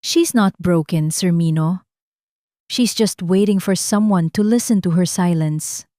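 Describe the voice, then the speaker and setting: A woman voicing a humble housemaid in an audiobook drama, soft-spoken and respectful but quietly brave. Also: fairly low pitch, takes it slowly, dry recording, clean studio sound. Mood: gentle, earnest.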